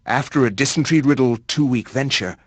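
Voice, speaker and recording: An actor playing a learned chronicler, narrating a horror game in a grave, measured and literary manner. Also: male voice, medium pitch, slightly rough, dry recording, natural voice.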